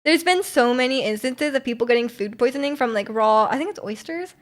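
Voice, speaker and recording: A young woman streaming live and chatting casually with her viewers. Her voice sits a little high, and she rambles, restarting sentences and filling gaps with likes and ums. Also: brisk. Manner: rambling, many fillers and restarts, frequent laughter. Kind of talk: casual chat reading viewer comments.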